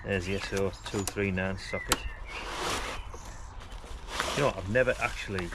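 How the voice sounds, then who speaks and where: A man's voice: sits low, normal speed, heavy background noise. A man giving casual, unscripted hobbyist commentary in a chatty, rambling way, repeating himself as he goes. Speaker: radio hobbyist vlogger.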